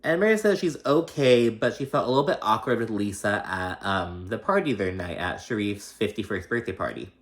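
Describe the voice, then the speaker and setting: Low-voiced gossipy man, casual and sweary. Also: animated, fairly quick, few pauses. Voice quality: slight lisp. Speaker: host of a reality TV recap show.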